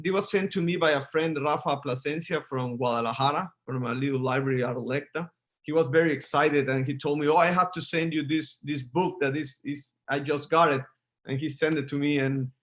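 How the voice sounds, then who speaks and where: Halting man. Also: fairly high pitch, a bit bright. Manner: stumbling, repeats words while searching for phrasing. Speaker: interviewer, host. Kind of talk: conversational interview.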